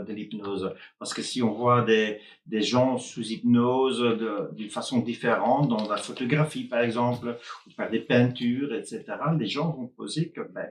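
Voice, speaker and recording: A man whose first language is Dutch, speaking with a Flemish accent as a hypnotist in a casual live conversation, pausing and restarting often. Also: medium pitch, reverberant, slight background noise. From Flanders, Belgium. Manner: hesitant, many pauses and restarts.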